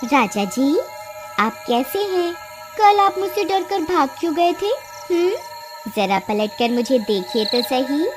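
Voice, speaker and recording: A woman's voice: sits high, big pitch swings, slow, full character voice. A woman voicing a cruel female forest witch in a cartoon, with a taunting, menacing manner. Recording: music behind the voice.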